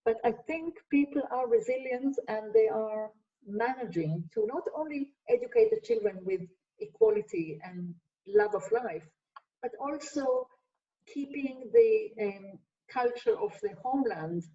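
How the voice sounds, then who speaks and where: An older woman, a Hebrew speaker, answers audience questions in earnest, stacking her points in lists. Her voice is mellow and fairly high, sitting well back from the mic. The video call audio sounds dull and lo-fi. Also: far from the mic. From Israel. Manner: earnest, emphatic lists, frequent restarts. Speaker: guest speaker, sociologist.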